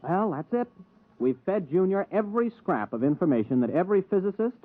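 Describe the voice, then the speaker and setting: A man's voice: high-pitched, sing-song, a little fast. A man playing a young former rocket pilot turned computer operator in a 1950s radio drama, plain-spoken and matter-of-fact. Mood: businesslike, concerned. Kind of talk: 1950s radio drama, first-person narration and dialogue. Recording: thin phone-like old radio recording.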